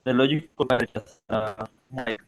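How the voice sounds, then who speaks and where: A man's voice: mid-range voice, fairly even intonation, moderate pace, low-quality recording. A man answering a question on an online call, hesitant and full of fillers. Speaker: student on a call. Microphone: online call mic.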